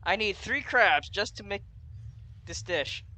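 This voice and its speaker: A man's voice: high voice, animated, measured pace, a little hiss or hum. A man giving informal gameplay commentary, often muttering to himself as he plays. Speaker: gamer, video host. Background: loud game music.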